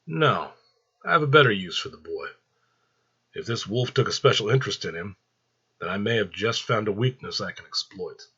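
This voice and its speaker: A man plays the Angel of Death in a storybook reading, in a husky, tense male voice. He is cruel and sly, coaxing with quiet menace. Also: on the low side, lively intonation, normal speed, dull audio, heavily acted. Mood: scheming.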